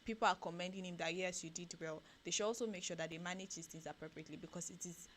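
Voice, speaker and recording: A Nigerian woman reads out newspaper headlines on a radio breakfast show, then talks them over in an animated way. Her voice sits a little low, and a faint noise hums under the studio sound. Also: fast talker. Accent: Nigerian English. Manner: reads headlines then comments animatedly, rising when excited. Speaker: radio breakfast show co-host reading news. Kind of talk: newspaper review with commentary.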